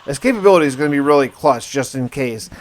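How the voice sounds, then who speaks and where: A man's voice: mid-range voice, lively intonation, fast talker. A man narrating his survival gameplay as a casual first-person story, with dramatic turns and colloquial asides. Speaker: gaming video host and narrator.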